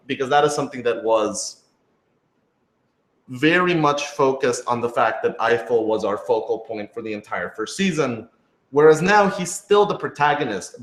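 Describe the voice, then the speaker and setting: A man's voice: mid-range voice, animated, normal speed. A man answering fan questions as a radio drama creator in an informal panel, thinking aloud and rephrasing as he goes. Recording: roomy, echoey room sound.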